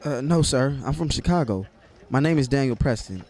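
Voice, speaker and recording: A man's voice: mid-range voice, animated, fairly quick, a little mellow, close to an everyday voice. An actor playing a timid, obedient young man from the city in an audio play, hesitant and deferential, with some noise on the recording. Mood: nervous.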